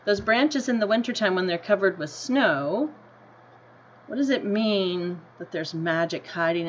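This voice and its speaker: An American woman reads a picture book to children at an unhurried, slow pace. She speaks at a middle pitch and pauses to explain things simply or put questions to her listeners. The recording is dull and lo-fi, with clear background noise. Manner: deliberate storybook reading, asking listeners questions and explaining simply. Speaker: teacher reading a picture book aloud to children. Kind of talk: read-aloud with simple explanatory asides.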